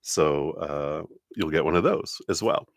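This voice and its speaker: A man hosting a live tarot reading stream, talking casually and gently to viewers with a congested, nasal voice and many fillers. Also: a bass voice, unhurried, no echo, clean studio sound. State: congested, nasal from a stuffy nose.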